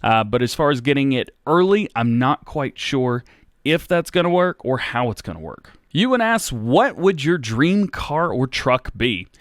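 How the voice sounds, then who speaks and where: An American man in his thirties, hosting a casual Q&A on his gaming channel, reading out viewers' questions and answering in a relaxed, rambling way. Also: medium pitch, a little slow, no echo, studio quality. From United States. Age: 30s. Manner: relaxed and rambling, with many fillers and restarts. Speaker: YouTube gaming host.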